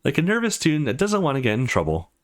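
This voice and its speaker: Man reading expressive, self-assured visual novel hero. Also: a little high, fast. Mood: amused. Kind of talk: reading game narration and dialogue.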